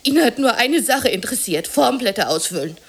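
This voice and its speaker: A woman's voice: a little high, expressive, a little fast, breathy edge. An actress in a German radio drama playing a bitter, anxious, unemployed working-class woman who confides in plaintive, colloquial speech. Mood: bitter, agitated. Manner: colloquial, confiding, plaintive, sometimes near whispering.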